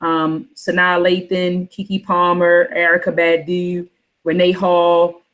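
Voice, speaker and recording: A woman's voice: fairly low pitch, level tone, slow. Rambling woman hosting a book club. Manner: rambling, repeats herself. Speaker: host. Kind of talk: casual book club chat.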